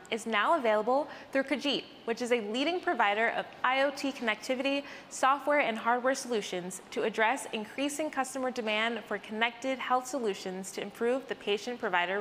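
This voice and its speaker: A woman's voice: a little high, fairly bright tone, big-room sound, slight background noise. An American woman hosting a scripted news update, with a polished presenter delivery. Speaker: news host.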